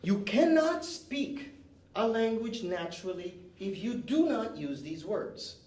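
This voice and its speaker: A man's voice: high voice, sing-song, takes it slowly, a little mellow. A man teaching an English class, giving emphatic, directive instructions and barking short commands as he leads drills. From moved to Canada at age nine. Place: indoor classroom. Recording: roomy classroom, distant mic, faint noise.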